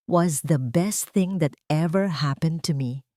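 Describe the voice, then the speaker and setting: A woman's voice: sits low, slow, warm tone, no echo, studio quality. A woman voicing a tender, remorseful billionaire father in a romantic audio drama. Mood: earnest, tender.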